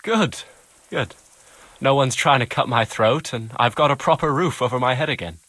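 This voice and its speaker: Casting: man playing modest stable boy for a game, sharp-edged rough voice, dry close sound. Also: mid-range voice, moderate pace, dry recording, lightly acted. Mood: relieved, reflective. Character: humble grateful young stable lad, a bit jittery. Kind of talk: video game cutscene acting.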